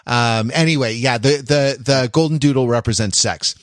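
Halting, dry-sounding man chatting on a podcast. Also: medium pitch, no echo. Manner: halting, circles back and repeats himself. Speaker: podcast co-host. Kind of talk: casual podcast chat.

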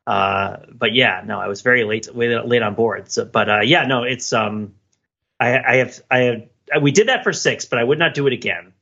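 A man's voice: medium pitch, normal speed, gravelly, pushed and bright. A talkative man chatting casually and at rambling length as a podcast co-host. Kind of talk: casual chat. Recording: dull, lo-fi microphone.

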